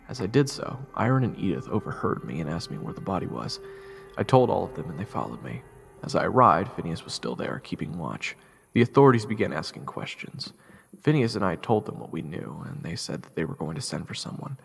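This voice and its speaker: A man's voice: low voice, big pitch swings, quick pace, vocal fry, full character voice. A man playing a curious, compassionate young army private who also narrates a fantasy audio drama. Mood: grave, narrating. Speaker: narrator and lead actor in an audio roleplay. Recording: reverberant, with music underneath.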